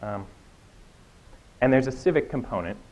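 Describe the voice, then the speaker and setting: A man giving an earnest closing to a conference talk, pausing with fillers. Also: medium pitch, lively intonation, a little mellow. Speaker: conference speaker. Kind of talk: closing a speech. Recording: dull lo-fi room recording.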